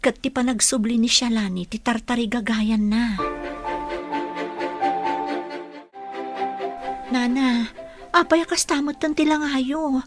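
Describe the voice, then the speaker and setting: A woman plays a young village woman in a radio drama. Her high voice is earnest and pleading, anxious and full of compassion. Music plays faintly underneath. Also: steady tone, moderate pace, close to an everyday voice. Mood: concerned.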